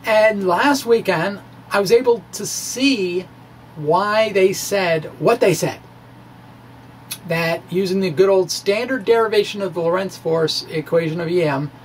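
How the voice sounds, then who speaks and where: A middle-aged man talks through science in a small room, with noticeable noise behind him. His delivery is informal and halting, full of musing hums, with a theatrical, animated turn to his phrasing. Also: on the high side. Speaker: science video blogger. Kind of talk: informal dramatic reading of a physics blog to camera. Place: small indoor room with bookshelves. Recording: clear background room noise.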